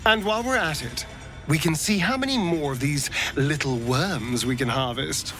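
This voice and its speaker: An actor playing a flirtatious schemer in a video game, with an arch, insinuating delivery. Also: male voice, fairly high pitch, moderate pace, a bit bright, faint music behind. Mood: sly. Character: suave, flirtatious, scheming man. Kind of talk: video game character acting.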